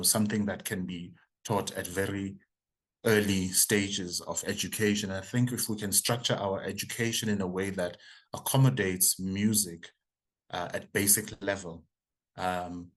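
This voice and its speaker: A man's voice: low voice, fairly even intonation, normal speed. A man in his forties with a South African accent, a native isiZulu speaker, answering interview questions as a choral conductor and composer. He speaks thoughtfully, often restarting and repeating words as he searches for them. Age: 40s.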